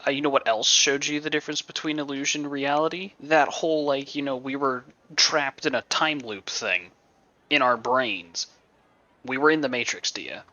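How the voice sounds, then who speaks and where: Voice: medium pitch, fairly even intonation, moderate pace, bright, forceful tone, muffled recording. A game streamer giving offhand, dry and joking commentary over gameplay in a casual, flippant way. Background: game music.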